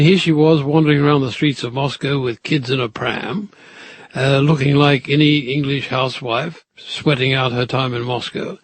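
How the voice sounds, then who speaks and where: Husky, fairly high-voiced man explaining. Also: no echo, rough recording. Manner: conversational, explanatory. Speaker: expert commentator. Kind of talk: documentary interview commentary.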